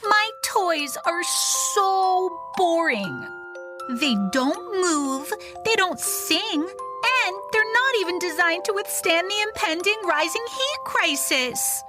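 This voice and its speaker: High-pitched, exaggerated little kid in an advert. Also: female voice, expressive, slow pace, music in the background, full character voice. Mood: bored, whiny. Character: bored, petulant young child who turns ecstatic in a toy advert. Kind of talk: audio play parody advert.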